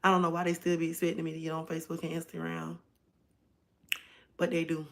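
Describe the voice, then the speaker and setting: Hoarse chatty woman livestreaming. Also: low-pitched, monotone, fast talker. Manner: chatty, addresses viewers directly. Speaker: livestream host. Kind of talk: casual livestream chat.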